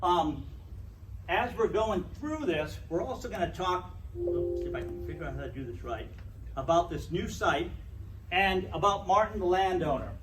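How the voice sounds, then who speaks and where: Middle-aged male lecturer with a loud, projecting voice, a bit high, recorded from afar in an echoey room. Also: lively intonation, moderate pace, over music. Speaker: guest speaker. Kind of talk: public lecture to a club. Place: meeting room. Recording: echoey room, distant mic.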